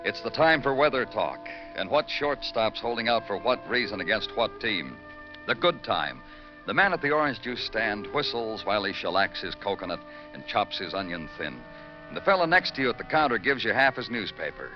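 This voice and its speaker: Deep-voiced, world-weary radio detective. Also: male voice, big pitch swings, moderate pace. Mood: wistful. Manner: brooding, poetic, clipped delivery. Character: hardened, world-weary male New York homicide detective. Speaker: narrator and lead detective. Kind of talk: 1940s-50s radio crime drama acting. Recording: dull vintage radio recording with music.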